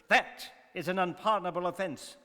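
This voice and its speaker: A man playing an exacting, unflappable English gentleman, speaking precisely and formally in a radio drama. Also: high voice, moderate pace. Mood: imperious, exacting. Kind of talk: stage radio drama acting. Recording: reverberant hall.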